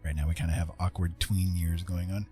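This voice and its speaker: Low-voiced man thinking aloud over music. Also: flat delivery, brisk, dark tone. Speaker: livestream host and artist. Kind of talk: casual rambling chat while drawing.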